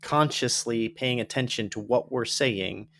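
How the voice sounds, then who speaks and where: A man's voice: medium pitch, measured pace, fairly bright tone, slight background noise. A man hosting a podcast, making his points in casual conversation with a reflective "right?". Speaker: podcast host. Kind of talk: casual interview talk.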